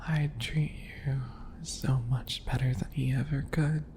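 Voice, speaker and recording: A smug little bunny alchemist, acted out by a man, taunts in a slow ASMR roleplay. The voice is gravelly with fry and slips into breathy, mocking whispers. It sounds sweetly possessive, with some noise in the background. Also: mid-range voice, steady tone, close to an everyday voice. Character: smug, teasing, obsessive yandere bunny alchemist, male.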